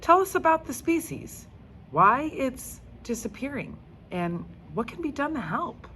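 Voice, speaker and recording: A woman's voice: high voice, very animated, a little slow, pushed and bright, a little hiss or hum, pitched above the performer's own voice, exaggerated voice. A woman voicing an earnest, encouraging male schoolteacher in a children's storybook, instructive and emphatic. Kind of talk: children's storybook read-aloud.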